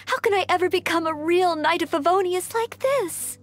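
A woman's voice: very high-pitched, measured pace. A woman voicing a humble, earnest young maid and aspiring knight in a video game, polite, formal and hesitant. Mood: disappointed. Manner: formal, hesitant, sweetly apologetic. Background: game music.

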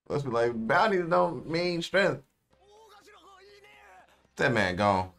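A young American man giving casual reaction commentary with slang and expressive exclamations. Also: mid-range voice, drawn-out words, creaky. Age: young adult. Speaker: reaction video host. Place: small indoor room.

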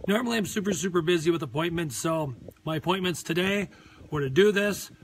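Hoarse Minnesotan man, outdoors on a phone. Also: high-pitched, fairly quick, noisy background. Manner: rambling, earnest pep talk. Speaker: real estate agent. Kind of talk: live property tour vlog. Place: outdoors on a lakeside deck. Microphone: phone held at arm's length.

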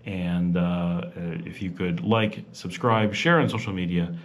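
A man's voice: deep voice, steady tone, a little slow. A man hosting a vlog, narrating a walking tour in a casual voice-over that rambles with many fillers and restarts. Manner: chatty and informal. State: rushed. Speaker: vlog host.

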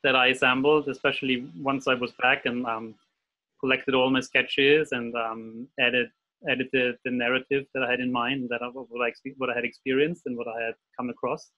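A man's voice: medium pitch, little pitch movement, normal speed. A man in his forties from Austria with an Austrian German accent, talking as a guest in a casual interview over a video call, hesitant, with many fillers and restarts. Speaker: guest illustrator. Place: home room at a desk. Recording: dull video call audio.

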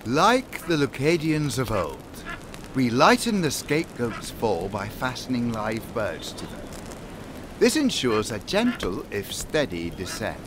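Urbane island lord, played by a man, radio-play villain, unhurried and highly animated, politely taunting. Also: background noise. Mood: mocking, measured. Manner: grandiose, polite, drawn-out taunting delivery. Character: urbane menacing island lord, calmly mocking cult leader. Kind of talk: audio drama acting.

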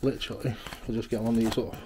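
A man's voice: mid-range voice, little pitch movement, very fast, darkish tone, slight background noise. A man narrating a walkthrough of an abandoned house in an offhand, chuckling way, recorded on a handheld camera indoors. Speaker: urban explorer vlogger. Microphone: camera mic, handheld walkthrough.

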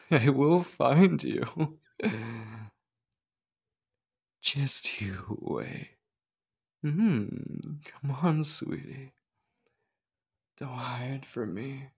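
A man's voice: medium pitch, expressive, extremely slow, gravelly, pauses often, a little mellow, husky, heavily acted. A man playing a possessive, obsessive stalker in an ASMR roleplay, menacing and coaxing, often dropping to a whisper. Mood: manic, obsessive. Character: possessive, obsessive male stalker hunting his beloved.

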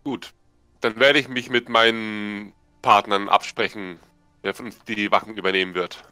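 A man as a formal, bright-voiced adventurer. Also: fairly low pitch, fairly even intonation, clean tone, dry recording, soft background music. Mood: courteous. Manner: measured, hesitant, formal. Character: formal, courteous male hired guard adventurer.

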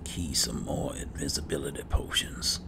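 A man's voice: a bass voice, moderate pace, vocal fry. A man giving in-character commentary on a roleplay game, often muttering his asides. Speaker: gameplay host playing a roleplay character. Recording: rain and loud game noise behind. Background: game sound with rain.

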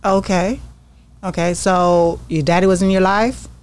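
A woman's voice: fairly low pitch, fairly even intonation, measured pace, darkish tone, clear, resonant tone. A woman co-hosting a podcast, asking curious, chatty interview questions into a studio mic. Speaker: podcast co-host. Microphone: studio mic with headphones.

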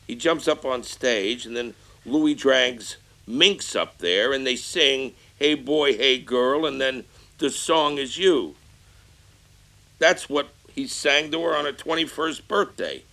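A man plays a brash showbiz husband who makes excuses in a breezy, defensive tone. His voice has a bright, forceful edge. The delivery is scripted audio drama monologue. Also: medium pitch, poor audio.

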